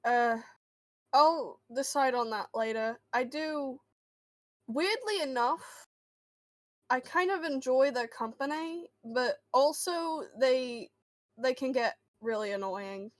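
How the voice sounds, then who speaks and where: A guarded but friendly boy, played by a woman, fairly high in pitch and unhurried. The delivery is halting and reassuring, improvised for a tabletop game and recorded dry. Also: no echo. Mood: awkward, friendly. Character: friendly, guarded boy who hears voices.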